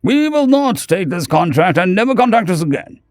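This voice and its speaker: A man's voice: high voice, big pitch swings, vocal fry, darkish tone, no echo, clean studio sound, voice pushed up, full character voice. A man doing a cold, curt alien assassin. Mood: menacing. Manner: firm, clipped, measured.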